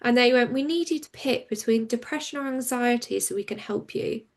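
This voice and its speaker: A woman, a campaigner and interview guest, speaking frankly and openly in a relaxed conversation. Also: fairly high pitch. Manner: open and frank, quick and animated when recounting.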